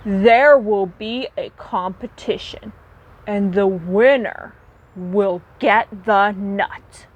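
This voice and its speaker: A woman's voice: mid-range voice, very slow. A woman voicing a firm, bossy badger in a storybook read-aloud, with a commanding manner.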